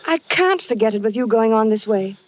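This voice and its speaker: An actress playing a worried, devoted wife who narrates in a 1940s radio drama. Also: female voice, a little high, expressive, a little fast, dry recording, lightly acted. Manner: anxious, coaxing. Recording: dull old radio broadcast with music.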